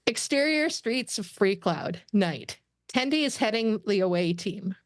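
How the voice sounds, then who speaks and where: Female narrator, medium pitch, dry sound, reading script directions aloud. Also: animated, measured pace, dry recording. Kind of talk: scripted narration for a table read.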